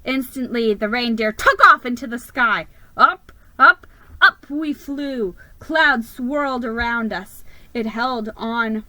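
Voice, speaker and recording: A woman giving a theatrical, expressive dramatic reading of a children's story. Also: fairly high pitch, slow, no echo, poor audio.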